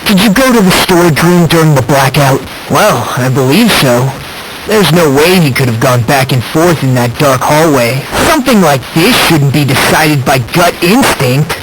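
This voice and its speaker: A man's voice: a little high, animated, moderate pace, background noise, lightly acted. A man voicing a polite, unsettling teenage boy in a video game, with theatrical, needling questions. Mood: probing, reasoning. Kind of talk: English video game voice acting.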